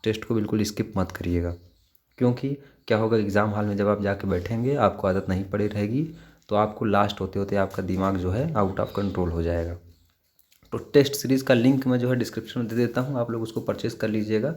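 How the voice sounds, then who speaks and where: A man's voice: on the low side, fairly quick, darkish tone. A man talks like an exam coach. He walks listeners through material informally, step by step, often repeating his advice. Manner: repetitive, step-by-step advising. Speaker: exam preparation teacher. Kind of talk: informal instructional screen walkthrough.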